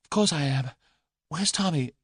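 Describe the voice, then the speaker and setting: A spirited, eager young woman, played by a male storyteller who asks quick, curious questions. Her voice is placed far higher than the reader's own. The recording is close and dry. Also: animated, breathy edge, no room sound. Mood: eager, quizzical.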